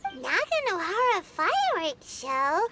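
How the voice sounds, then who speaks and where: A woman voicing a small, inquisitive fairy companion in a video game, with a squeaky, childlike voice. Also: wildly swooping pitch, slow pace, over loud music, heavily acted. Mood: curious, doubtful.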